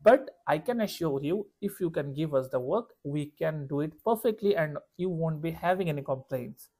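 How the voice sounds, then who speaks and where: A young man from Bangladesh with a Bangladeshi accent, a freelance ad marketer earnestly pitching his services to a client on a call. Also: expressive, moderate pace, a little mellow. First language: Bengali. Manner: earnest, reassuring, fillers of "you know". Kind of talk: sales call.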